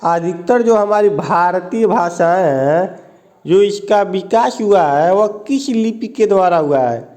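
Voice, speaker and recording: A man's voice: sits high, expressive, slow, darkish tone. A man teaching an exam prep lesson, reading each question and its options, then repeating the answer back as a question. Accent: Hindi, north Indian.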